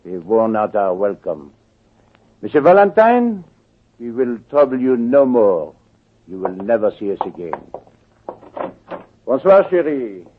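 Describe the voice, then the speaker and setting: A man's voice: mid-range voice, very animated, a little slow, long pauses, phone-quality sound. A suave thief, silky and coldly menacing, swinging his voice up and down. The sound is a thin old radio broadcast. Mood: gracious. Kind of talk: 1940s radio acting.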